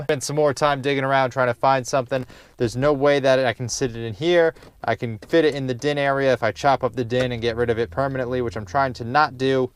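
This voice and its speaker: A man's voice: mid-range voice, smooth, no room sound. A man giving a casual, unscripted DIY how-to narration in a rambling, wry, casually profane way inside a car. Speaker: DIY car project host.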